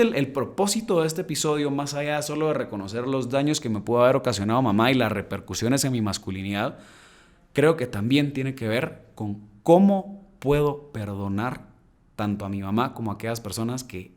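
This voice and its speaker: Male podcast host, casual heartfelt monologue, slangy, soft-to-emphatic delivery, average pitch. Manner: earnest, slangy, addresses listeners directly; loudness swings between soft and emphatic.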